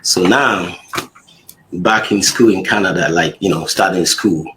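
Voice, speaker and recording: Low-voiced man hosting, rambling. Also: measured pace, noisy background. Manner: rambling, restarts questions, friendly. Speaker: host. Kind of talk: casual interview hosting.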